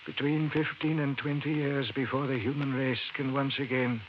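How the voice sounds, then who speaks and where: An actor playing a frail, dying old professor in an old-time radio drama, speaking weakly, haltingly and with urgency. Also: male voice, medium pitch, fairly even intonation, lightly acted. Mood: earnest, reassuring. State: weak, failing. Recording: muffled, hissy old radio recording.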